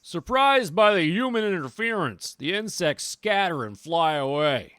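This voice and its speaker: A woman streamer reading a visual novel aloud with expressive, dramatic storytelling. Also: lowish voice, a little slow, smooth, no room sound. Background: game music.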